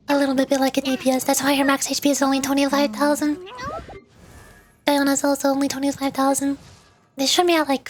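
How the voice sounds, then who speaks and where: A woman chatting casually and playfully on a gaming livestream, with animated delivery. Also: high-pitched, slight background noise. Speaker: VTuber streamer, host. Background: background music.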